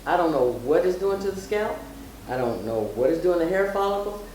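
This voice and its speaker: A woman's voice: on the low side, expressive, a little fast, darkish tone. Middle-aged woman in a very echoey room. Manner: emphatic, repeats phrases for stress. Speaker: mother, guest on her daughter's vlog. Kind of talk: casual personal storytelling and advice. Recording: very echoey room, distant mic, faint noise.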